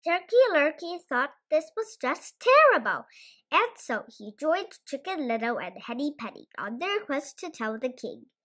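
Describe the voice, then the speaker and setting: A young female storyteller reads a children's tale. The voice is high and very lively in its melody, with expressive delivery throughout. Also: measured pace. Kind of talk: children's bedtime story reading.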